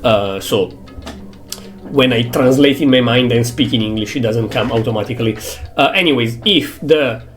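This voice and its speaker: A young man with an Italian accent, a native Italian speaker, thinking aloud in English with halting phrasing as he streams a game. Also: medium pitch, faint music behind. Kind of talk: casual gameplay commentary.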